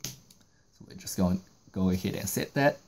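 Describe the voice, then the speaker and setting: A man's voice: sits low, moderate pace, darkish tone, a little hiss or hum. A man narrating a step-by-step card game tutorial, explaining each move in sequence. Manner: explanatory, walking through moves in sequence. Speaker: trading card game YouTuber.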